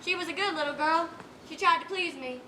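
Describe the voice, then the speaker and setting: A young female performer playing a gruff, impatient rural mother in a school stage play, with folksy, scolding phrasing. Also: extremely high pitch, brisk, bright, forceful tone. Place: stage in a large room. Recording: echoey, noisy stage recording.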